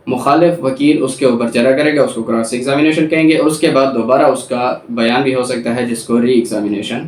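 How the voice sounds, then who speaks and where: A man with a Pakistani accent giving a methodical explanatory lecture, reading out formal text and rephrasing each point. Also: medium pitch. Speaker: law lecturer. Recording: echoey room, distant microphone.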